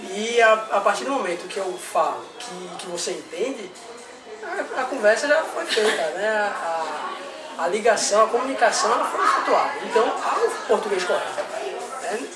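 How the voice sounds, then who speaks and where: A woman being interviewed, answering in a hesitant, reflective way. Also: mid-range voice. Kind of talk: street vox-pop interview. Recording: echoey, distant, crowd behind.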